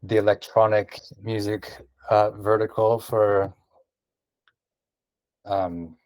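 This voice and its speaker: A man hosting a casual interview, relaxed and a bit hesitant, with frequent "um" and "uh". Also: lowish voice, slow, dry recording, rough recording. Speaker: podcast host.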